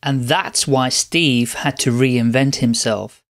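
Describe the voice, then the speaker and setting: A man hosting a podcast, welcoming his guest and asking questions in an upbeat, encouraging way. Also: mid-range voice. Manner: upbeat, encouraging, quick acknowledgements. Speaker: podcast host. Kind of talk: podcast interview.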